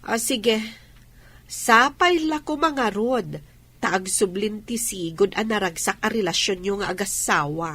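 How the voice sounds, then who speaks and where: A woman acting in a radio drama as a chatty female friend, animated and teasing. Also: mid-range voice, a little slow, slightly rough, full character voice. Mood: cheerful.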